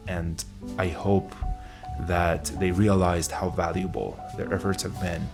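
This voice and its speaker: Deep voiced guy, researcher talking in an interview, reflective, some music behind. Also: level tone, unhurried, creaky. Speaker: university researcher and mentor.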